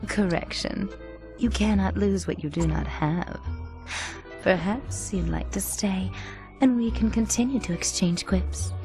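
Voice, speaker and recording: An actress playing a sly, flirtatious femme fatale card player in a video game. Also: female voice, medium pitch, measured pace, music in the background, lightly acted. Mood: teasing. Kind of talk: video game cutscene acting.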